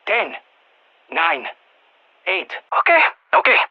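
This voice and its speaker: An actor playing an anxious, excitable nerdy scientist in a video game, speaking over a radio, expressive and prone to stammering and repeating words. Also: mid-range voice, slow, dull audio, heavily acted. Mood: brisk, focused. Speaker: field research scientist.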